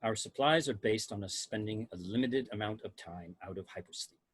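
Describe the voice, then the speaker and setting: A man in an amateur table read playing a dry, skeptical science officer on a spaceship, measured and deadpan. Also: fairly low pitch, breathy edge, no echo.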